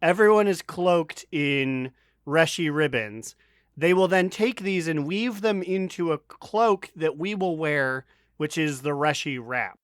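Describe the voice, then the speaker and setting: Male podcast co-host, fairly high voice, close dry sound, casual and jokey delivery. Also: a little slow, clean tone, no room sound. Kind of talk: casual joking podcast chat.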